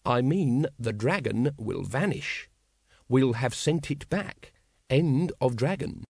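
A man's voice: high-pitched, very animated, normal speed, dry recording, clean studio sound, pitched above the performer's own voice. Man acting a grand, scheming cult master. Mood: patient. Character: scheming cult leader, patient yet contemptuous, grandly triumphant.

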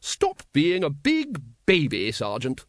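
A man's voice: fairly high pitch, huge pitch swings, slow pace, no room sound, studio quality, voice pushed up, full character voice. A man voicing a cheerful, hearty aristocratic lady in an audiobook. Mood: brisk.

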